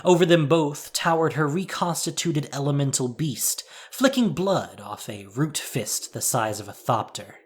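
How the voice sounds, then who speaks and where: A man's voice: on the high side, lively intonation, measured pace, few pauses, breathy edge. A man narrating a scripted audio drama in a dramatic storytelling style.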